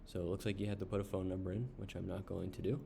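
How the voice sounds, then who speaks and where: A man casually reviewing an online service while browsing its pages, unhurried, with frequent ums and uhs. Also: low voice, flat delivery, gravelly, dark tone, slight background noise. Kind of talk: casual screen-share review.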